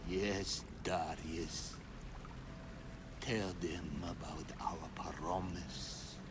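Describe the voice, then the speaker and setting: An actor playing a menacing, ominous zealot hunter from a secret ancient order, in a dramatic video game cutscene. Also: male voice, sits low, fairly even intonation, heavy background noise. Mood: wounded, bitter. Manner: slow, deliberate, ominous.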